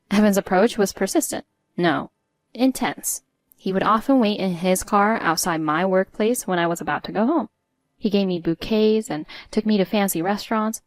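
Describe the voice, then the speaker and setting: A woman reading a scripted first-person story in a measured, articulate way. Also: medium pitch, no echo. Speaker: story narrator.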